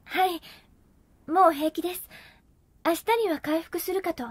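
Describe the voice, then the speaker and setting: Gentle young woman, anime drama, very high voice, polite, soft-spoken, shy. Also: steady tone, a little slow. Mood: wistful, hesitant. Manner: soft-spoken, formal, hesitant. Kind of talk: Japanese anime audio drama acting.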